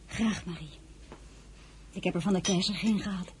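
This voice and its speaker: An actress playing a dignified, quietly defiant French princess and mother in a historical radio drama, measured and often dropping to near whisper. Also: lowish voice, noisy background, close to an everyday voice. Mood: weary, reflective. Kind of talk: Dutch-language historical radio drama acting.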